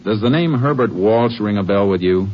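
An American actor playing a calm, determined Texas Ranger investigator in a 1950s radio drama, with terse, matter-of-fact delivery. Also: male voice, lowish voice, normal speed, lightly acted. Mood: businesslike, probing. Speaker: lead actor in radio western. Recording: noisy, muffled old 1950s radio recording.

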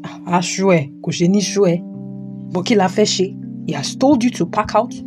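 A woman's voice: mid-range voice, moderate pace, lightly acted. A woman playing a stern but supportive elderly father in an audio drama, with a Nigerian Yoruba accent and an emphatic, repetitive, admonishing delivery. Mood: firm, resigned. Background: guitar music.

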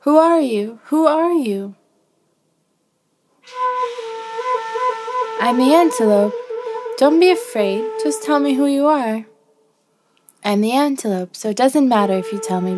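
A woman's voice: fairly high pitch, lightly acted. A woman voicing a brave, stubborn, curious young antelope in a children's story, eager and full of exclamations. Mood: bold, curious. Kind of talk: children's storybook character acting. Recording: loud music behind the voice.

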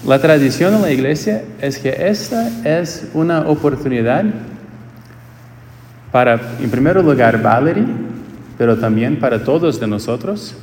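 A man with a Portuguese accent preaching a homily in a church, in slow, searching phrases that often restart. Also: medium pitch, a little mellow, a little hiss or hum. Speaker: priest. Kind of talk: homily at a quinceañera Mass. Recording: echoey church with long reverb.

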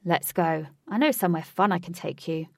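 A woman's voice: fairly low pitch, fairly quick, gravelly, a little mellow, dry recording, studio quality, recorded from a distance. A woman voicing an arrogant, entitled young male athlete from a storybook, with an insistent, snapping manner. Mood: pushy, insistent.

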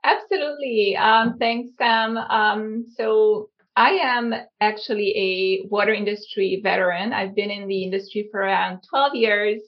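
A woman from Hungary with a creaky voice, answering conversationally as an interview guest. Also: mid-range voice, fairly even intonation, unhurried, few pauses, dull audio. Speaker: guest, company founder.

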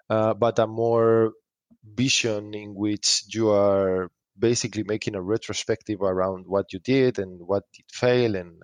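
A man answering interview questions as a startup founder, thinking aloud haltingly and repeating phrases. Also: on the low side, no room sound. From Spain.

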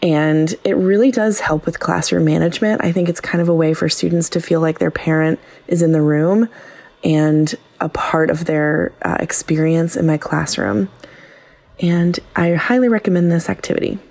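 A woman's voice: low voice, steady tone, moderate pace, gravelly, darkish tone. A woman teacher leaving a casual voicemail, explaining something from her own work.

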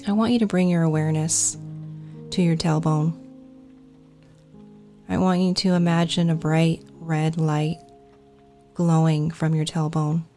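A woman leading a guided meditation in a calm, soothing, deliberate manner. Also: low-pitched.

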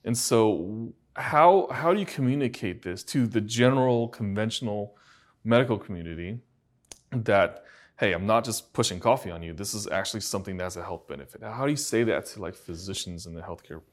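Low-voiced man from China, halting interviewer. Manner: halting, repeats words, curious. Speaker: physician host. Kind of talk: casual interview.

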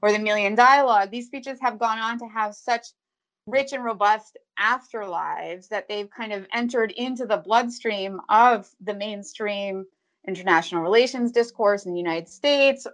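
A woman translator answering interview questions in a measured, academic way, with frequent ums and restarts. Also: medium pitch, fairly bright tone. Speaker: translator of ancient Greek.

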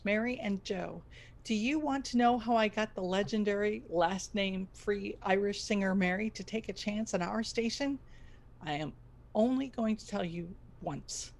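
An actress playing a desperate, bossy radio station owner in an audio comedy, theatrical and commanding. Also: female voice, mid-range voice, natural voice. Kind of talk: comedy audio drama acting.

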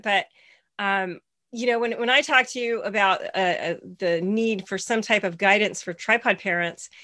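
A woman co-hosting an interview, enthusiastic in casual chat and often repeating her first words. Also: a little high. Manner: warmly enthusiastic, stumbling over repeated words. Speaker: co-host.

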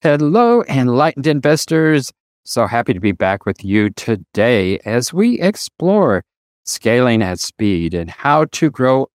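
A man's voice: fairly low pitch, dry recording, studio quality. A man from the United States hosting a podcast interview, upbeat and effusive. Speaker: podcast host.

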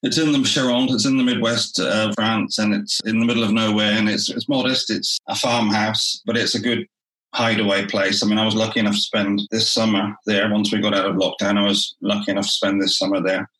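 A man who is a theatre director, talking through his work in a relaxed interview and often restarting his phrases. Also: lowish voice, a little fast, reverberant, rough recording.

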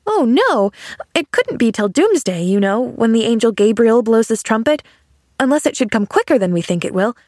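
A woman's voice: on the high side, sing-song, moderate pace, a little mellow, no room sound, studio quality, voice pushed up. A woman voicing a cheerful, naive little girl in an audiobook, eager and earnest, rambling on chattily. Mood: earnest, chatty. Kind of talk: audiobook character dialogue.

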